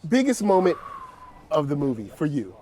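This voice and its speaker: A man leading a casual panel chat, excited and slangy, laughing often. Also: a little high, normal speed.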